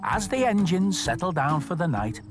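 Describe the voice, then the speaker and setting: A man narrating a story for children as host, expressive and speaking directly to young listeners. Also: high-pitched, moderate pace, over music. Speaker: storytelling host of a children's show, station worker persona.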